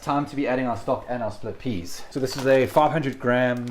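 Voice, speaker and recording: Man, cooking show host, home kitchen, jokey, casual, medium pitch. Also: normal speed, a little hiss or hum. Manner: chatty, jokey, self-deprecating.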